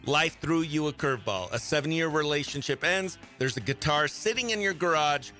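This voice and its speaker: Male country radio host, higher register, forward bright tone, upbeat interviewer delivery. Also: lively intonation, moderate pace, faint music behind.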